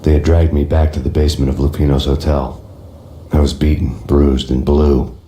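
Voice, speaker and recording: Brooding vengeful noir narrator, rock-bottom monotone, world-weary, game voice-over. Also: male voice, a bass voice, moderate pace, a little hiss or hum. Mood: grim, wry. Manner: deadpan, weary. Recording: roomy reverb, music behind.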